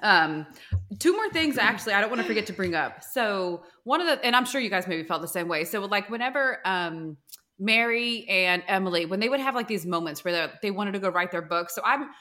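A woman's voice: medium pitch, expressive, brisk, sharp tone. A woman co-hosting a casual book club podcast, curious and questioning, with lots of "like". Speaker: podcast co-host.

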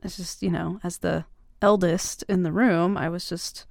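Young woman, voice actress, creaky voice, teasing older-sister type, casual halting delivery, intimate roleplay, very dry recording. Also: fairly low pitch, steady tone, darkish tone, no room sound. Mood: sheepish, confessional. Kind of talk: intimate ASMR-style romantic audio roleplay.

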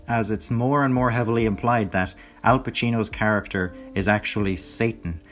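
A young man hosting his own podcast, talking in a rambling, chatty way that corrects itself, his voice hoarse from a lot of talking. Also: on the low side. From Ireland. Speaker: podcast host. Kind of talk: casual solo podcast chat.

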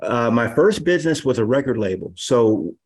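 A man in his fifties, a guest on a podcast, telling stories in a relaxed, rambling way with many fillers. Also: mid-range voice, normal speed, slightly rough. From Los Angeles area, USA. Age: 50s. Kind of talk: casual storytelling interview.

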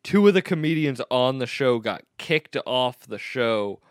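A man's voice: medium pitch, measured pace, clear, resonant tone, no echo, studio quality. A man, a stand-up comedian, telling a story in casual chat and acting out the people in it. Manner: animated storyteller, quotes people in raised voices.

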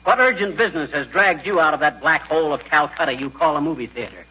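Bright, blustering folksy old-radio comedy husband. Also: male voice, a little high, animated, fast. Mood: grumpy. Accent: American. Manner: folksy, ungrammatical storytelling. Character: blustering, folksy, bumbling husband full of tall tales. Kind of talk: 1940s American radio comedy acting. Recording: dull, noisy 1940s radio recording.

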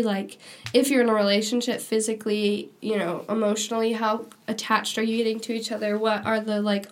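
A young woman co-hosting a casual faith-based podcast, sounding nasal from a cold and talking in a chatty way full of "like" and "um". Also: a little high. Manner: chatty, lots of "like" and "um". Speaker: podcast co-host.

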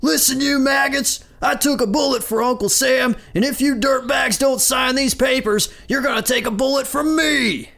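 A man voicing a gruff, hot-tempered army recruiting sergeant in a barking, commanding manner, as in a cartoon retelling. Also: high-pitched, animated, measured pace, a bit bright, voice pushed up, heavily acted. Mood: furious.

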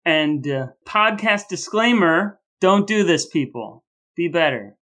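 A man's voice: fairly high pitch, lively intonation, slow pace, sharp tone. A man co-hosting a podcast, moving between casual chat and playful, mock-grand announcements. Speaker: podcast co-host.

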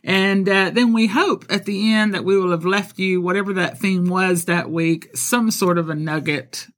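Chatty high-voiced man co-hosting a podcast. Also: steady tone, moderate pace, fairly bright tone. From born in Texas, raised in Colombia. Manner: chatty, laughs often, many fillers. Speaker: podcast co-host. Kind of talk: casual podcast chat.

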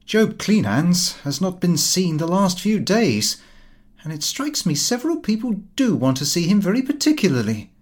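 A man voicing a brash, excitable young son, flippant and slangy, in an audiobook. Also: high voice, normal speed, pitched above the performer's own voice. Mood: apologetic. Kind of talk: audiobook character voice.

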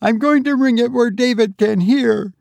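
A man's voice: very high-pitched, takes it slowly, creaky, a little mellow, no echo, voice pushed up, exaggerated voice. A man voicing a desperate, defiant grieving mother in a dramatic story reading, pleading breathlessly and insistently. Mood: frantic, defiant.

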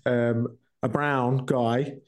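A British man speaking candidly as a musician guest on a podcast, in a halting, reflective way. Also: medium pitch, level tone.

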